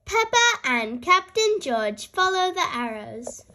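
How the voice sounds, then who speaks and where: Very high, bright young storyteller. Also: takes it slowly. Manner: cheerful, expressive, addresses young listeners directly. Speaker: host and reader of a children's read-aloud show. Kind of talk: animated children's storybook read-aloud.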